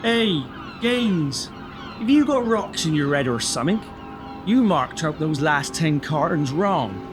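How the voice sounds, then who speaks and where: High-pitched, brash factory man played by a man. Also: animated, measured pace, music in the background. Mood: irritated. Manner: slangy, mocking. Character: brash, scornful factory man berating a coworker. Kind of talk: vintage comic audio drama acting.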